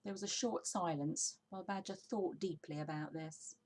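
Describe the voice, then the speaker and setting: A woman's voice: lowish voice, moderate pace, darkish tone. A woman narrating a children's storybook expressively, as a story reader.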